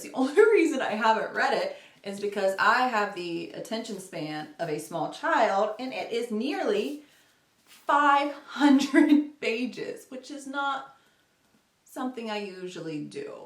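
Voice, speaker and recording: Animated woman chatting in an echoey room. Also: mid-range voice, moderate pace. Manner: chatty, rambling, self-correcting. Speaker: book vlogger. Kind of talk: casual book chat to camera. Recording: roomy, echoey home recording.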